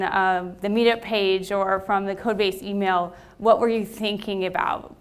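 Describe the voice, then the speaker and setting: American woman presenter, clear resonant voice, mid pitch, halting with fillers as she addresses a room. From Los Angeles. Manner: hesitant, frequent ums and restarts. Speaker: meetup speaker. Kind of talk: live talk to an audience. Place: meetup venue with seated audience.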